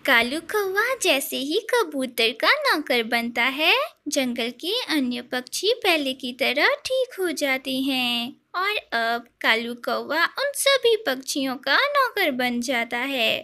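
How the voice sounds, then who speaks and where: High, bright woman storyteller. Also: sing-song, slow pace, hardly any pauses. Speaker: narrator. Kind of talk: scripted children's story narration.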